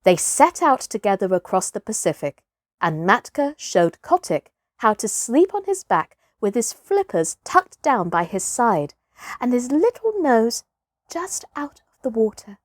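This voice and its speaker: Animated, unhurried woman storyteller. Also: fairly high pitch, no room sound, clean studio sound. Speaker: storytime host and reader. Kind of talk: expressive children's storybook narration.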